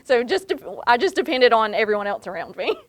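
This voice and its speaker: A woman's voice: a little high, sing-song, brisk, slight background noise. Hesitant woman with fillers. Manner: hesitant, many fillers, laughs at herself. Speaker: alumni panelist. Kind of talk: panel talk.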